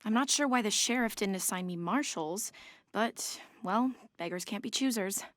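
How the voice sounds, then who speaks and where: A woman's voice: mid-range voice, moderate pace, a bit bright, no room sound, natural voice. An actress voicing a haughty, determined young woman of a rich family in a video game, formal and self-assured. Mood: resolute.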